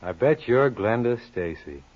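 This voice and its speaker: An actor playing a brash, wisecracking private investigator in a 1950s radio crime drama, glib and needling. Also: male voice, mid-range voice, expressive, unhurried. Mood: glib, ingratiating. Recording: dull old 1950s radio recording.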